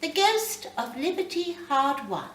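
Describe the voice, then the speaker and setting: A woman voicing a serene ghost woman in a short story, with an even, pleasant, unruffled manner. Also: sits high, unhurried, voice pushed up, exaggerated voice. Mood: calm, inviting. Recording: roomy, distant mic, faint noise.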